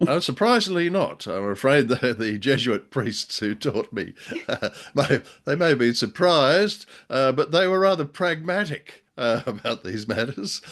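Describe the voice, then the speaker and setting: An older man co-hosting a podcast in casual chat, with a droll, hesitant and wordy way of talking. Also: lowish voice. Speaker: podcast co-host. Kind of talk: casual chat about old radio drama.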